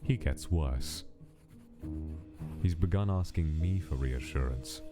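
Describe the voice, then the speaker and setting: An actor playing a cold scientist who narrates his experiment log in a measured, formal, detached way, in a comic dub. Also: extremely low pitch. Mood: clinical, concerned. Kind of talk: scripted dramatic monologue in a comic dub. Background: music behind the voice.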